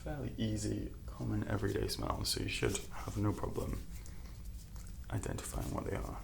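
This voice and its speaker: A man speaks softly and gently as a doctor in an ASMR medical roleplay, reassuring and unhurried, at times dropping toward a whisper. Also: lowish voice, flat delivery, creaky, noisy background. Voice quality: soft, hushed, at times whispered. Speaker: ASMR performer playing a doctor.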